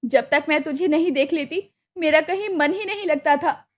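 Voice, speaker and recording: A woman's voice: high voice, normal speed, lightly acted. A woman voicing a loving, emotional elder sister from an Indian village in a cartoon moral story, effusive, fussing and affectionate. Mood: tearful affection.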